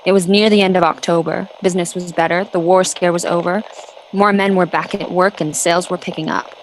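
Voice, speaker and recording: A woman's voice: lowish voice, fairly even intonation, brisk, a little hiss or hum, lightly acted, low-quality recording. A woman playing a solemn, literary narrator in a scripted radio drama. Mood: measured. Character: solemn, literary narrator of an alien invasion tale.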